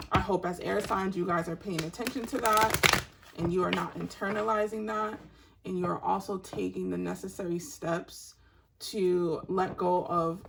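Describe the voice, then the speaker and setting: A woman giving a tarot reading to her online audience in a soft, meandering, spiritual way, with a raspy voice. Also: lowish voice. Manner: soft-spoken and meandering, unhurried spiritual talk. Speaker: tarot reader.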